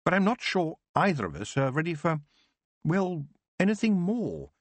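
An earnest young witch, sure of her gift yet torn inside, as a male reader voices her. The voice sits raised above his own and stays husky and heartfelt. It is recorded close and dry. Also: a little high, wildly swooping pitch, moderate pace, dry recording, voice pushed up. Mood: heartfelt, troubled.

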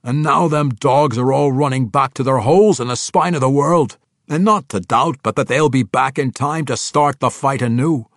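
A gruff, battle-hungry dwarf king acted by a male audiobook narrator blusters in rustic dialect. The voice is raised well above plain narration, loud and growling, in a dry recording. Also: mid-range voice, moderate pace, dry recording, voice pushed up, natural voice. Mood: frustrated.

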